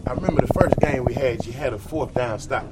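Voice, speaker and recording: A man's voice: mid-range voice, quick pace, over loud music. A man hosting a casual group interview, teasing his guests and repeating phrases for effect. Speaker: interview host. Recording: loud crowd chatter behind the voice.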